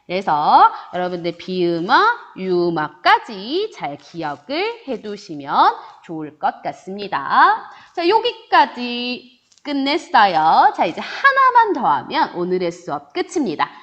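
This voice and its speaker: Woman teacher, online lesson, sing-song and lively, muffled lo-fi sound. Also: mid-range voice, a little slow. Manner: lively, rising and falling teacherly sing-song, voices student questions herself. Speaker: Korean grammar teacher. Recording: dull lo-fi recording.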